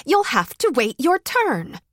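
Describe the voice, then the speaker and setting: A woman's voice: high-pitched, big pitch swings, moderate pace, no echo, studio quality, pitched above the performer's own voice. Woman doing a stern young baker. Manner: stern and blunt.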